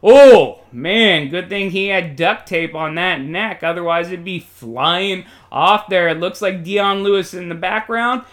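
A man's voice: high voice, unhurried, few pauses, sharp tone. A man doing play-by-play football commentary in a colloquial, jokey, exclamatory style. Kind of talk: excited sports commentary.

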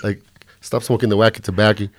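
A man's voice: fairly low pitch, level tone, quick pace, a little hiss or hum. A middle-aged man from the American Southwest hosting a podcast, talking loosely and profanely in casual chat, a little drunk and hungover. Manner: loose, profane, rambling storytelling. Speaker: podcast host.

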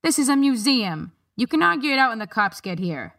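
A woman reading a novel aloud plays a heavyset male museum guard, pitched higher and louder than her narration. He is blunt and informal, speaking plain street talk. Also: big pitch swings, brisk. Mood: gruff, impatient.

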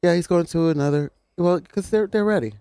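A man with a raspy voice co-hosting a morning radio show, chatting casually with quick, lively reactions on a studio microphone. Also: high-pitched, no room sound.

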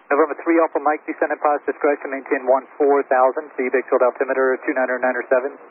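Male air traffic controller, mid-pitched, brisk and matter-of-fact, on a narrowband radio. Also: level tone. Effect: radio transmission. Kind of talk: clipped radio traffic instructions. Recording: tinny narrowband aviation radio.

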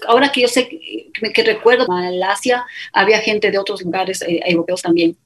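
A woman's voice: medium pitch, fairly quick. Ecuadorian woman telling her story. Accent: Ecuadorian Spanish. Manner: animated, quotes others in dialogue, many fillers. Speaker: guest. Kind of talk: casual interview storytelling.

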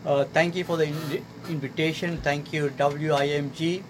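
A man in his sixties from Sri Lanka talks in earnest, halting English, his voice sitting a little high. He speaks as a guest on a radio show. Air conditioning hums clearly in the background of the studio recording. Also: little pitch movement, a little hiss or hum. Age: 60s. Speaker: guest artist. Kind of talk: casual radio interview.

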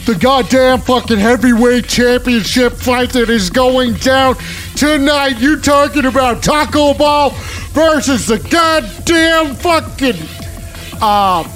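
A man's voice: extremely high pitch, slow pace, a bit bright, faint music behind, heavily acted. A man voicing a manic, swearing wrestling radio host who announces fighters with bluster. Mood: hyped. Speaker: show host.

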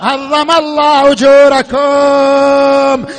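Male lament reciter, strained high register, unhurried, half-chanted and full of sorrow. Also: completely flat delivery, shouting, a faint crowd, slight background noise. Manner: emotional, wailing, drawn-out and repetitive delivery. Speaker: religious eulogy reciter. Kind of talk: mournful Shia lament sermon, half-chanted.

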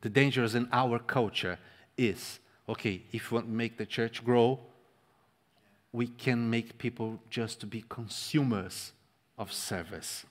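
A man whose first language is Portuguese, preaching a sermon with passion, swinging between loud stresses and hushed lines and repeating words for emphasis. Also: medium pitch, unhurried. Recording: long reverb of a large hall.

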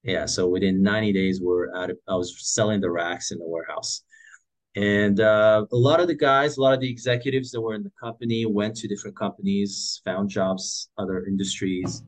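A man's voice: fairly low pitch, fairly even intonation, fairly quick, rough recording. A man in his forties from Morocco, telling his career story as an interview guest with many fillers and restarts. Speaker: guest entrepreneur.

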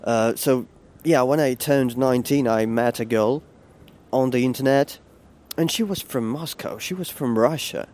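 A man's voice: mid-range voice, moderate pace, darkish tone. A Ukrainian man in his late twenties hosting a podcast, talking candidly while he walks to work outdoors, with fillers and restarts. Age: 20s. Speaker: podcast host. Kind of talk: casual walking monologue. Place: outdoors on a city street, walking.

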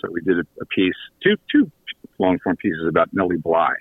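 A man chatting casually as a guest in a radio interview, halting as he searches for his words. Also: low voice, very animated, slightly rough. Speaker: guest, audio theater creator and writer. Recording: echoey, tinny phone-line connection.

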